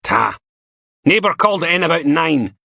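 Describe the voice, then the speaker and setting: A coarse, growling woman inspector with a crude mouth, as a male narrator plays her. Her voice rides high and swings all over, bright and forceful against a dry, close sound. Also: very animated, normal speed, creaky, no echo, full character voice. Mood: sardonic. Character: gruff foul-mouthed middle-aged woman police inspector. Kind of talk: audiobook character voice.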